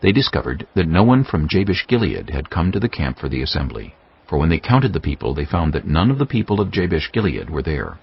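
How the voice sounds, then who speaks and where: A man giving a solemn, measured, dramatic narration of scripture. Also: extremely low pitch, big pitch swings, slightly rough. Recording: dull lo-fi sound. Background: music.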